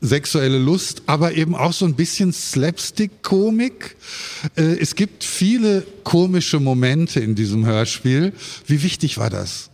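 A man moderating a live panel discussion in a measured, articulate way. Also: fairly high pitch, expressive, a little mellow. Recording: reverberant hall at book fair.

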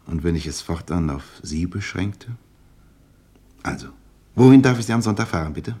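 Middle-aged male suitor, radio play: deep, animated delivery, smooth flatterer, insistent and ingratiating. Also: heavily acted. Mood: flirtatious, insistent. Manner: effusive, ingratiating, chuckling, halting. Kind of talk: German radio play acting.

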